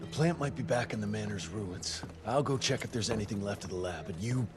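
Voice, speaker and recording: A man's voice: on the low side, a little fast, music in the background, close to an everyday voice. A man playing a hot-headed, sardonic young vampire hunter who curses bluntly in a game cutscene. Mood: defiant, angry. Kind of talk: video game cutscene acting.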